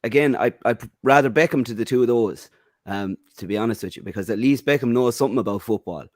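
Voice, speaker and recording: A man's voice: mid-range voice, fast, dry recording, clean studio sound. An Irish man on a football podcast panel, giving firm opinions with lots of "um" and "you know". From Ireland. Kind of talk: casual football podcast chat.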